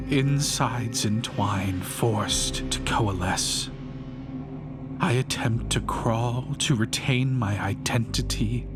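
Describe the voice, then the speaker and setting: A man playing a fanatical, grandiose killer who narrates his crimes in theatrical, measured verse, as in a dramatic poetry audiobook. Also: fairly low pitch. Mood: fading, breathy. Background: music behind the voice.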